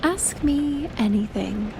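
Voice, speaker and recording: A woman's voice: fairly high pitch, expressive, slow, vocal fry, full character voice. A young woman playing a shy, playful best friend in an intimate audio roleplay, talking casually and hesitantly. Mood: playful, nostalgic. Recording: rain and thunder noise behind voice. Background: rain and thunder.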